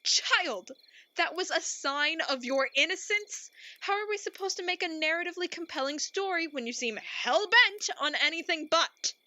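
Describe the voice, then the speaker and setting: A woman's voice: extremely high pitch, animated, normal speed, bright, forceful tone, no room sound, muffled recording, exaggerated voice. A woman playing a melodramatic, easily exasperated narrator in a radio play, grandiose and theatrical. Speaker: narrator and host.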